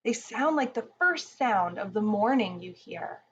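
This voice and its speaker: A woman reading a rhyming children's picture book aloud in a deliberate, expressive storytelling manner. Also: medium pitch, lo-fi sound, a little hiss or hum.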